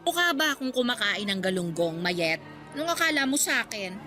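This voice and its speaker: Snooty middle-aged neighbour lady, high bright voice, scornful haggler, radio drama style. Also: sing-song, brisk, faint music behind. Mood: disdainful. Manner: haughty, scornful, nitpicking. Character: snobbish, haggling, insulting female neighbour. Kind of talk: Tagalog radio drama acting.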